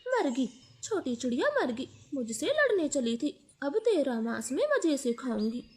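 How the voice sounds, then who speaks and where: A woman's voice: sits high, sing-song, unhurried, a little mellow. A woman voicing a cruel, hungry female eagle villain in a cartoon fable, threatening and gloating.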